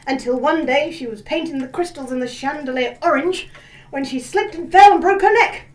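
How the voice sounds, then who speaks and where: A woman playing a brisk upper-crust lady telling a family ghost story in a theatrical audio drama, with old-fashioned posh phrasing. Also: high voice, darkish tone, recorded from a distance, close to an everyday voice, low-quality recording. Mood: grave narration.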